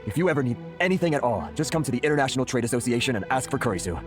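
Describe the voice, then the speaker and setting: A man playing a timid, quick-talking older merchant. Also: medium pitch, expressive, lightly acted. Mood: grateful. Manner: stammering, polite. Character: timid, earnest older male merchant, easily flustered. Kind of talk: video game voice acting. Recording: music behind the voice.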